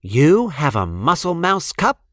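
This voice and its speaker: A man's voice: a little high, wildly swooping pitch, slow, a bit bright, dry recording, clean studio sound, pitched above the performer's own voice, full character voice. A man voicing a polite, earnest, particular little boy mouse in a storybook.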